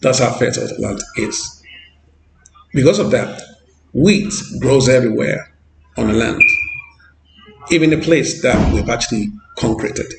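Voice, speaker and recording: A man's voice: medium pitch, lively intonation, a little slow, creaky, pauses often, reverberant, music in the background, rough recording. A man from Ghana with a Ghanaian English accent, hosting a vlog on a street and talking earnestly with repeats and fillers. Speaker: vlogger. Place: outdoors on a street.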